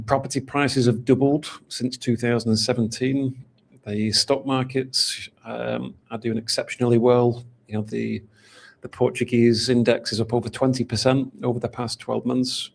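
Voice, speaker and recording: A man's voice: mid-range voice, gravelly. A man from England with a Manchester accent, answering interview questions in a chatty way with lots of fillers and restarts. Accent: Mancunian English. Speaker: golden visa specialist.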